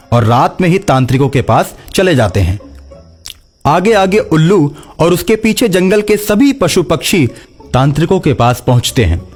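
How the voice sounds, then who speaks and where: A man's voice: on the high side, darkish tone. A man narrating a scripted children's story, with music behind him.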